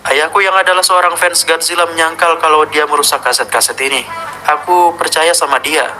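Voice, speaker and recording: Man reading as a skeptical channel owner, lowered voice. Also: fairly even intonation, fairly quick, sharp tone. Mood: skeptical, uneasy. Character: skeptical young video channel owner, dismissive but curious. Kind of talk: first-person horror story narration.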